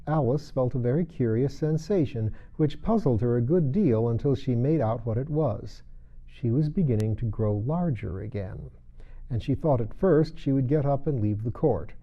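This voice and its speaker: A man reading a children's story aloud as an expressive narrator, on a dull recording. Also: medium pitch. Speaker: audiobook reader.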